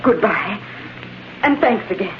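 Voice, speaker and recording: An actress playing an anxious, halting, distraught wife in a vintage radio drama. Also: female voice, medium pitch, lively intonation, lightly acted. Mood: apologetic, subdued. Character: distraught, anxious wife pleading for missing husband. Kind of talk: 1930s-40s radio drama acting. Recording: muffled, noisy vintage radio broadcast.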